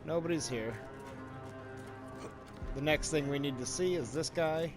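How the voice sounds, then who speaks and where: A man's voice: on the high side, unhurried. A man giving casual gameplay commentary as the host of a walkthrough. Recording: loud game music behind. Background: game music and sound effects.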